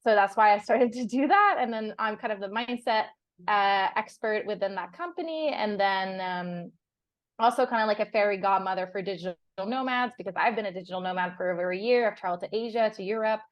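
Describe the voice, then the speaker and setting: A woman's voice: medium pitch, brisk, no room sound, muffled recording. A young woman whose first language is French, chatting in a casual interview over a video call, often laughing and restarting words. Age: young adult. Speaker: guest. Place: on a sailboat.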